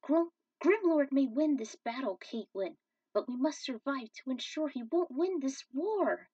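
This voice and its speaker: A woman's voice: high-pitched, expressive, a little slow, warm tone, no room sound, voice pushed up. A woman voicing a grave, resolute professor with a solemn, declamatory delivery in a fan fiction reading. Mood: grave urgency. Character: grave professor rallying allies, earnest and resolute. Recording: dull, distant microphone.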